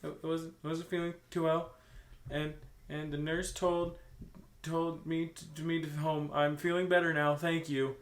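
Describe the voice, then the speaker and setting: A man's voice: fairly high pitch, level tone, moderate pace, close to an everyday voice. A man voicing a shy, anxious teenage schoolboy who hesitates and stammers, in an amateur story reading. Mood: nervous. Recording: roomy, echoing room sound.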